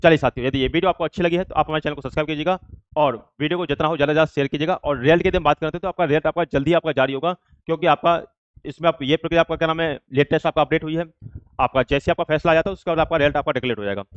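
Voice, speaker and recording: A man's voice: a little high, racing, smooth, dry recording, dull audio. A man teaching exam students in an informal way, explaining step by step with repeated examples.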